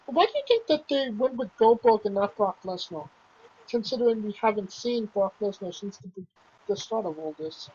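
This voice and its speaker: A woman's voice: medium pitch, very animated, normal speed, a little mellow, slightly rough. A woman hosting a casual wrestling podcast who asks questions and laughs easily. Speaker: podcast host. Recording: dull lo-fi podcast audio.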